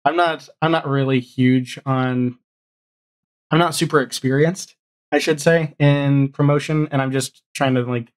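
A man's voice: mid-range voice, steady tone, a little slow. Man chatting casually, thinking aloud. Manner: rambling, thinks aloud, often ends on "right?". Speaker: guest, audio drama showrunner. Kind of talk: casual chat. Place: home room, video call.

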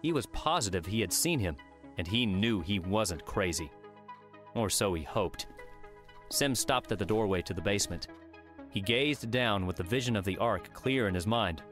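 A man's voice: lowish voice, fairly bright tone. A man reading scripted story narration as an audiobook narrator.

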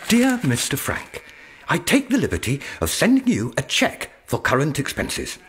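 An actor playing a kindly, fussy old clerk in a radio drama, formal and faltering, with a husky voice. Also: male voice, fairly high pitch, huge pitch swings, normal speed, background noise. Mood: anxious, affectionate. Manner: formal, faltering, letter-writing delivery.

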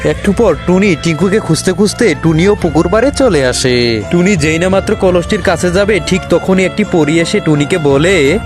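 Male storyteller: high voice, scripted children's tale narration, music bed underneath. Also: moderate pace. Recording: music behind the voice.

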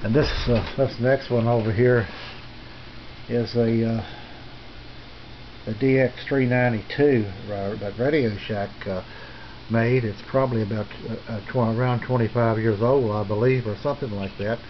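A man giving a casual, unscripted tour as a hobbyist host, rambling and halting, recorded on a handheld camera in a small home room. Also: mid-range voice, fairly even intonation. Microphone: handheld camera's built-in mic. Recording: muffled camcorder audio with background hiss.

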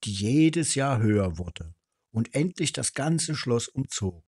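A man's voice: medium pitch, very animated, slow, a little mellow, husky, dry recording, studio quality. A man reading a fairy tale aloud as a storyteller. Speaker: storyteller, channel host.

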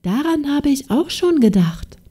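A woman doing gentle Lady Sun, tender. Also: sits high, big pitch swings, a little slow, recorded from a distance. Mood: joyful. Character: gentle loving sun lady, a fairy-tale spirit.

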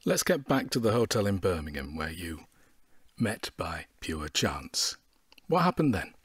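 An actor playing a brisk, sceptical detective inspector with a husky voice, questioning in an audio drama. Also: male voice, low voice, big pitch swings, dry recording, clean studio sound, exaggerated voice. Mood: probing. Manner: probing, dryly sceptical.

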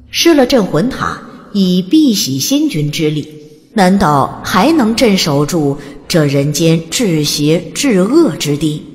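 A woman reads a fantasy audiobook in an even, steady storytelling manner. Her voice is low for a woman. It carries a long reverb. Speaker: audiobook narrator. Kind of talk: scripted narration.

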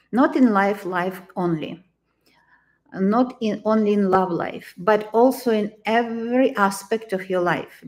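A woman in her sixties with a Ukrainian accent, giving a tarot reading in a halting, deliberate, gentle way. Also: mid-range voice. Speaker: tarot reader. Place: living room, indoors.

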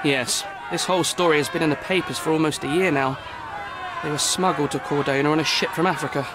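An actor playing a harried, defensive city hall official in a video game, speaking formally and precisely. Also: male voice, on the high side, fairly even intonation, brisk, crowd noise, noisy background, natural voice. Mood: resigned, explanatory. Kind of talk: video game cutscene acting.

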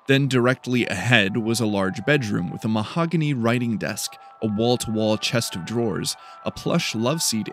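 A man reading scripted fiction as a dramatic audiobook narrator. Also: lowish voice. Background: faint music.

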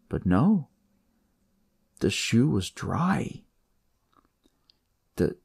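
A man's voice: mid-range voice, gravelly, a little mellow, slightly rough, no room sound, studio quality, full character voice. A man voicing a detective who tells his story in the first person, conversational and theatrical, as in a dramatic audio story. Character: male detective recounting a sinister, eerie discovery. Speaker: storyteller narrating in character. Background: music.

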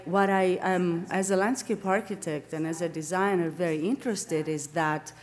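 Low-pitched Greek-accented woman lecturing. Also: fairly even intonation. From Greece. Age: middle-aged. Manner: halting, searching for words, self-correcting. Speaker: academic lecturer, landscape architect. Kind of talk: academic seminar lecture. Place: indoor lecture room, at a lectern.

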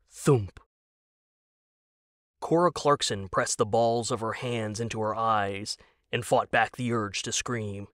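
A man narrating a scripted audio drama in a deliberate, wry way with dramatic pauses. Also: lowish voice, fairly bright tone, no echo, clean studio sound. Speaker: narrator and author.

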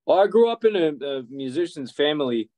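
A man in his forties with a Serbian accent, giving halting, reflective answers in a conversational interview. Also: fairly high pitch, animated, darkish tone, no room sound. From Serbia, former Yugoslavia. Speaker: interview guest, violinist and music educator. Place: office or teaching room, indoors. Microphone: video call.

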